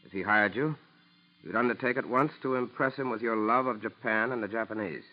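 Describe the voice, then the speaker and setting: Male actor as businesslike G-man, American accent, lowish pitch, quick delivery, 1940s radio drama, dull sound. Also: faint music behind, lightly acted. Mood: businesslike, persuasive. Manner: formal, clipped, businesslike. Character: brisk, businesslike male FBI special agent.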